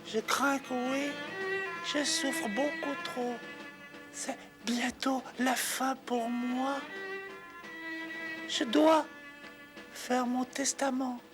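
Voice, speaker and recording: A high, theatrical toad gentleman from a cartoon. He is boastful, scheming and melodramatic, and draws out his words slowly. Loud music plays behind him. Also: steady tone. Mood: feebly pleading. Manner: theatrical, exclamatory.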